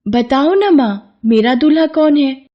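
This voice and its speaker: A woman's voice: high-pitched, lively intonation, unhurried, lo-fi sound, voice pushed up. A woman voicing an innocent, curious young girl in a storybook tale, eager and pleading. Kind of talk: acted Hindi devotional storybook dialogue.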